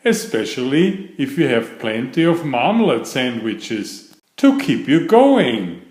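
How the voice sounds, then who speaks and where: A man's voice: mid-range voice, big pitch swings, slow pace, a little mellow. A man voicing a polite, earnest young storybook bear in a courteous, matter-of-fact way. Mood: earnest, pleased. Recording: roomy, echoing sound.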